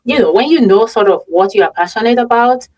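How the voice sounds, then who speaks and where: A woman's voice: on the high side, lively intonation, fairly quick, darkish tone, low-quality recording. A woman with a Nigerian accent hosting a conversational interview in a reflective, affirming way. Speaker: podcast host and consultant.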